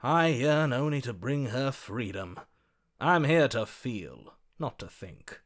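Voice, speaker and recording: A man's voice: medium pitch, expressive, slow. A man playing a florid, passionate, lovestruck romantic in a video game, speaking in a theatrical, grandiloquent manner. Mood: passionate, defiant. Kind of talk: video game character acting.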